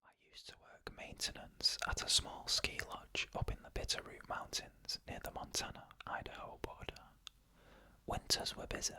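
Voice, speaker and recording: An ASMR storyteller who reads eerie first-person stories in a soft, unvoiced whisper, with measured pauses between short phrases.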